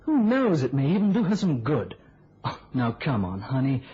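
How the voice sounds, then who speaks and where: A young newspaper reporter in a vintage radio drama. His husky, mid-pitched voice rises and falls widely as he coaxes and persuades. The recording is old and muffled. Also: huge pitch swings, moderate pace, heavily acted. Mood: coaxing. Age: young adult. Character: eager, coaxing young male newspaper reporter.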